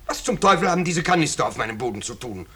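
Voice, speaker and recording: An actor playing a pompous, blustering but cowardly middle-aged husband and house owner in 1950s radio drama style. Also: male voice, fairly high pitch, sing-song, fast, pushed and bright. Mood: indignant. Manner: indignant, self-important, emphatic. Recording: noisy vintage 1950s radio recording.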